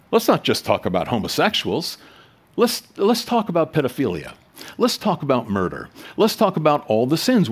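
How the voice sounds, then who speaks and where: A man's voice: fairly low pitch, breathy edge. A male pastor preaching a sermon in a conversational way, with emphatic swells and rhetorical questions to the congregation.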